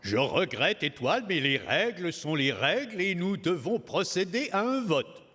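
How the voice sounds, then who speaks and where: A man's voice: high voice, very animated, a little slow, sharp tone, husky, full character voice. An actor playing a kindly, formal rabbit king in a cartoon, speaking in a stately, ceremonious way. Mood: formal, firm. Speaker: voice actor in a French-language cartoon. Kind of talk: animated film character acting.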